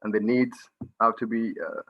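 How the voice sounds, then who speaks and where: A man with a Kenyan accent answering as a medical student panelist, halting and searching for words with frequent restarts. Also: fairly low pitch, dull audio.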